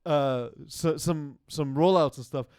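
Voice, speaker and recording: A man co-hosting a sports podcast, talking in a casual, animated way with quick asides. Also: fairly high pitch, clear, resonant tone, no echo, studio quality. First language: not French. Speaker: podcast co-host.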